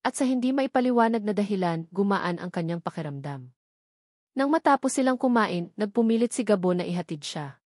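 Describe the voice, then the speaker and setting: A woman's voice: medium pitch, expressive, fairly quick, darkish tone, no room sound, studio quality. A woman reading a scripted romantic drama story as its narrator.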